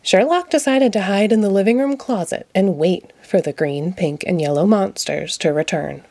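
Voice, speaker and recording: Lady narrating a picture book, mid pitch, read-aloud for kids. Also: moderate pace, dark tone, dry recording. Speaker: storybook reader.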